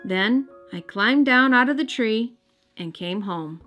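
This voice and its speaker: An American woman reading a storybook aloud for young children in a gentle, careful storytelling manner. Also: a little high, lively intonation, slow pace. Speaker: children's storybook reader and host. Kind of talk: scripted read-aloud narration. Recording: music under the voice.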